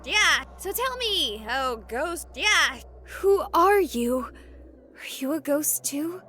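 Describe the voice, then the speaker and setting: A young female ghost-hunting streamer, with a high, bright voice that swings widely in pitch. She is theatrical and wisecracking, taking her time over lines as in a spooky game. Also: sing-song, slow pace, slight background noise, exaggerated voice. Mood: nervous, questioning. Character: chatty, jokey young woman ghost-hunting on a livestream. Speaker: live-streaming ghost hunter. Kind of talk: dramatic visual novel voice acting.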